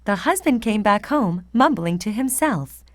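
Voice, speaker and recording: A woman narrating a fairy tale for children in an expressive, deliberate read-aloud manner. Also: medium pitch, no room sound. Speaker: storyteller.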